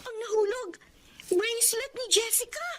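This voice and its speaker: An actress playing a respectful, anxious young daughter in a Filipino drama. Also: female voice, very high-pitched, normal speed, a crowd in the background. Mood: alarmed. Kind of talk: Filipino radio-style drama acting.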